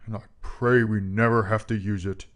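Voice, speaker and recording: Man acting a low-voiced, stern government security aide. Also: moderate pace, lightly acted. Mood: formal, deadpan. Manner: formal, clipped, grave, deadpan. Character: stern, zealous male presidential security aide. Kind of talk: comic audio drama acting.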